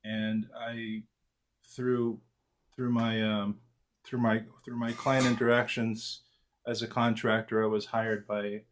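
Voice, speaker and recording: Low-voiced man in his forties. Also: slow, slight background noise. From Argentina. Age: 40s. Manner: relaxed, self-deprecating, frequent fillers and restarts. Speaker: trader, interview guest. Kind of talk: casual interview answers.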